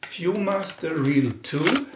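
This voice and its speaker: Lively high-pitched man reading a children's story. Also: takes it slowly, roomy sound, dull audio, background noise. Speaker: storybook narrator.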